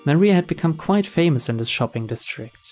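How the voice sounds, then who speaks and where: A man's voice: fairly high pitch, expressive, a little fast. A man reading a visual novel's story aloud as scripted narration. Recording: soft music behind the voice.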